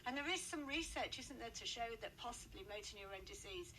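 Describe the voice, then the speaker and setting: A woman's voice: on the high side, lively intonation, quick pace, bright, forceful tone, poor audio. A woman hosting a local radio interview in a chatty, effusive way, often repeating words and affirming. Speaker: radio host. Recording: radio interview with faint background noise.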